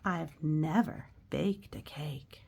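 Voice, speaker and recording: A naughty young girl of a rhyming storybook, as a woman reads her aloud, guilty yet keen to fix things. She draws out each rhyme slowly and deliberately, with a gravelly edge to the voice. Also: low-pitched, darkish tone, full character voice. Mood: sheepish, hopeful. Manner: drawn-out, deliberate rhyming delivery.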